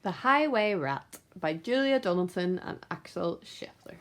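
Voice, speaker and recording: A woman reading aloud to young children as an expressive picture-book storyteller. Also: fairly low pitch, measured pace.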